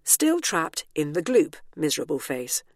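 A woman's voice: sits low, sing-song, unhurried, no echo, clean studio sound, exaggerated voice. A woman voicing a sulky alien scout who talks in texting slang.

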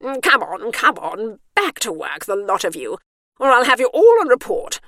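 A woman's voice: mid-range voice, sing-song, normal speed, a bit bright, no echo, studio quality. A woman voicing a haughty, officious male care-home warden who orders everyone about. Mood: bossy.

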